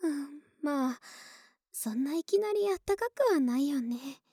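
Young neighbor woman, played by an actress, ASMR audio drama: cutesy, intimate, coaxing, unhurried delivery in a dry recording. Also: high-pitched, expressive, mellow, no room sound. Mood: playful, coaxing. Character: friendly, clingy young neighbor woman, older-sister type. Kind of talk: Japanese ASMR situation voice drama acting.

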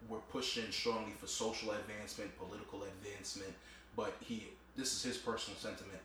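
Animated New man, distant echoey mic. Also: lowish voice, slight background noise. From Queens, New York. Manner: animated, enthusiastic, conversational. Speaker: podcast host. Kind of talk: casual podcast chat.

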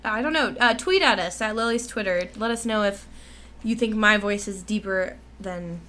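A woman's voice: medium pitch, moderate pace, pushed and bright. A young woman co-hosting a podcast, chatting casually with fillers, restarts and frequent laughs. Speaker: podcast co-host. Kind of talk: casual chat.

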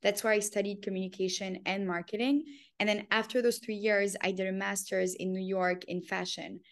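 A woman's voice: medium pitch, fairly even intonation, fairly quick. A woman in her twenties, born in France and raised in Canada, talking eagerly as a guest in a casual podcast interview and laughing often. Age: 20s. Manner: enthusiastic, gushing, laughs often.

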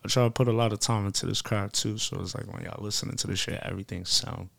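A man's voice: lowish voice, steady tone, fast talker, gravelly, a bit bright, dry recording. A man from the United States chatting casually in slang as an interviewed musician, emphatic and self-assured, often repeating himself for weight.

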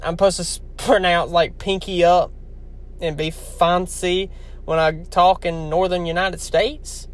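Young man, heavy Louisiana accent, casual rambling vlog chat, slightly higher voice. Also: little pitch movement, unhurried. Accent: heavy Southern US, Louisiana. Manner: chatty, rambling, talks straight to viewers. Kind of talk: casual talk to camera.

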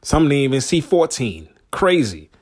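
Man, forties, podcast host, casual slangy monologue, mid pitch. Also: sing-song, normal speed, husky. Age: 40s. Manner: laid-back, slangy, talks straight to listeners.

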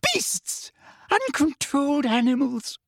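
A man voicing a pompous, officious woman government official in a shrill, high-pitched voice for a storybook. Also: big pitch swings, moderate pace, creaky, dry recording, clean studio sound, heavily acted. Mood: enraged.

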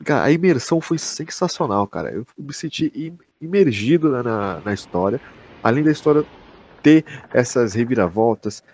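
Husky Brazilian man, slangy podcast host. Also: medium pitch, lively intonation, moderate pace, darkish tone. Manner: slangy, swearing, emphatic. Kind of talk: casual chat, enthusiastic review.

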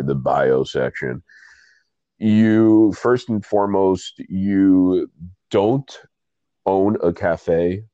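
A man's voice: low-pitched, dark tone, no room sound, lo-fi sound. A man with a stuffy nose hosting a casual interview, rambling with self-deprecating asides. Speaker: podcast host and coffee reviewer.

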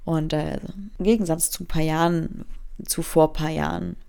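A young German woman in her twenties talks through a casual solo podcast episode. Her voice sits low and carries a gravelly fry. She rambles from thought to thought, teases herself, and sprinkles English phrases into her talk. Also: little pitch movement, normal speed, warm tone. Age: 20s. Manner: rambling, self-mocking, drifts off topic. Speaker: podcast host.

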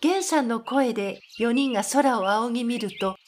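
A woman's voice: fairly high pitch, moderate pace, darkish tone, slight background noise. A woman narrator reading scripted storytelling narration for an audio drama.

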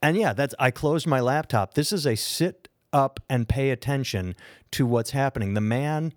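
A man's voice: mid-range voice, moderate pace, dry recording, clean studio sound. An American man co-hosting a podcast, telling stories in a rambling, enthusiastic way. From United States. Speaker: podcast co-host. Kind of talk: casual podcast chat.

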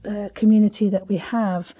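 A woman's voice: mid-range voice, flat delivery, moderate pace, dark tone, slightly rough, faint music behind. A woman hosting a casual podcast interview, chatty and effusive, with playful turns of phrase.

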